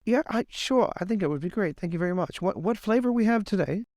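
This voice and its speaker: A man's voice: high-pitched, expressive, quick pace, darkish tone, no echo, studio quality, pitched above the performer's own voice. A man improvising a polite, hesitant, faintly peevish lord in a tabletop roleplay. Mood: politely peevish. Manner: polite, hesitant, passive-aggressive. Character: mild young lord, politely peevish, easily pleased.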